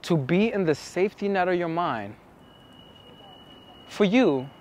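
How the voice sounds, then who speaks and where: Young man coaching outdoors, higher-pitched voice. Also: animated, measured pace, a little mellow. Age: young adult. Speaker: dating coach. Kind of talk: explaining to camera. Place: outside on a city street by a large building. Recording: outdoor city street with traffic noise.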